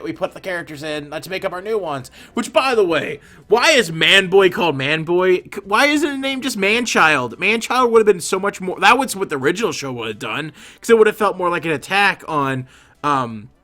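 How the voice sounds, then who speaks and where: A man's voice: high voice, lively intonation, brisk, bright, forceful tone. A young man chatting casually as he animates, rambling and opinionated with lots of fillers and restarts. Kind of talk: casual commentary while animating.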